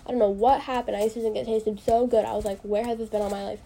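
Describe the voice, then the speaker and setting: A woman's voice: a little high, steady tone, fast, creaky, dark tone. A young woman hosting a casual solo podcast, congested from allergies, rambling and joking at her own expense with lots of fillers. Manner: rambling, self-deprecating, full of fillers. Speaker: podcast host.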